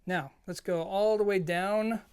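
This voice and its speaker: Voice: mid-range voice, fairly even intonation, a little slow, a little mellow, clear, resonant tone. A video host presenting an audio gear review, explaining things informally and directly to viewers. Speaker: product reviewer and show host.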